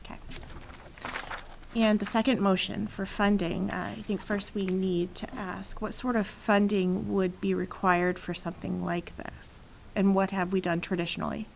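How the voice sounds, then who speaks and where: Sex: woman. Role: board chair. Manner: polite, procedural. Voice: gravelly, with a faint hiss behind it. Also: mid-range voice, flat delivery, normal speed, low-quality recording. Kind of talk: chairing a public meeting.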